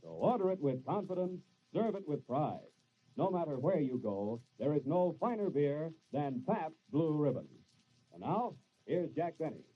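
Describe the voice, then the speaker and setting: High-pitched, animated vintage male radio announcer. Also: moderate pace, breathy edge. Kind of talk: 1940s radio announcing, scripted ad reads. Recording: muffled noisy old radio recording.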